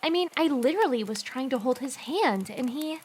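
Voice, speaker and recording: A woman performs a sensitive schoolgirl in love in an amateur audio drama. The voice is very high, girlish and earnest, with a wistful way of talking. The home recording is slightly noisy with clicks. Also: animated, fairly quick, darkish tone. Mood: wistful, frustrated. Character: lovelorn, sensitive teenage schoolgirl. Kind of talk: fan audio drama acting.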